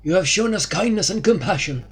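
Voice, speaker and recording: Guy doing an old desert chief, solemn, pitched up oddly. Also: expressive, brisk, a bit bright, slightly rough, heavily acted.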